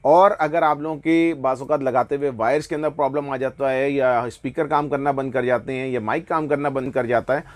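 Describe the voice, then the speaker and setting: A man with a Pakistani accent gives a casual product review and sales pitch, explaining enthusiastically and often slipping into English phrases. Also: steady tone, fairly quick, few pauses. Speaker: online motorbike gear seller presenting products.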